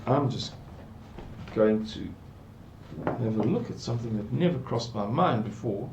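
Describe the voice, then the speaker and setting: Slow, musing older South African man. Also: medium pitch, animated, muffled recording, noisy background. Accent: South African English. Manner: slow, musing, repeats words for emphasis. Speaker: Bible teacher. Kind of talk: unhurried scripture commentary. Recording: echoey room.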